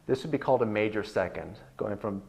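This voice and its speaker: Low-voiced man teaching guitar. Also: fast talker, gravelly, muffled recording. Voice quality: bass-baritone voice. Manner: relaxed, rambling explanation with many fillers. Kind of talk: online video lesson.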